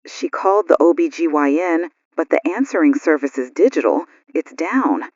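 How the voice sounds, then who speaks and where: A woman's voice: deep voice, animated, normal speed, a little mellow, breathy edge, dry recording, dull audio. A woman playing an anxious radio news co-host during a blackout, in an audio drama. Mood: distressed.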